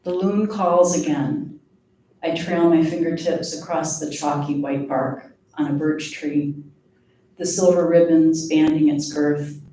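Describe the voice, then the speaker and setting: A woman giving a live poetry reading of her own work, in a measured, deliberate way with pauses. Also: low-pitched, steady tone, dark tone. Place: live reading event before an audience. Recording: very echoey room, distant mic, faint noise.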